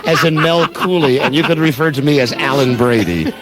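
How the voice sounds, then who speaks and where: A man hosting a radio show with casual, bossy, mock-gruff comic banter. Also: fairly high pitch, a little fast, heavy background noise. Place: radio studio. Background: music.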